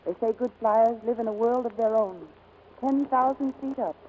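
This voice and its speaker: A woman's voice: a little high, normal speed, darkish tone, slight background noise, close to an everyday voice, rough recording. An actress playing an earnest, romantic but guarded young woman pilot in 1940s radio drama style. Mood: tender, romantic. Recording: old 1940s radio broadcast, music behind.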